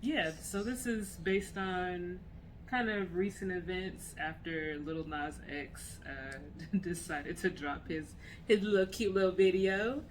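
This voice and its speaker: Young mom cohosting a podcast, slow and dry-joking, room noise behind her. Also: on the low side. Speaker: podcast co-host; mother. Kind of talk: casual chat.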